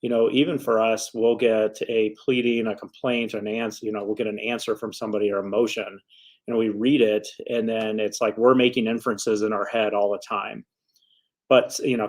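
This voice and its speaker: A man's voice: fairly low pitch, flat delivery, a little fast. A man speaking as an attorney in a casual, conversational advice vlog, earnest and a little rambling in his phrasing. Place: office.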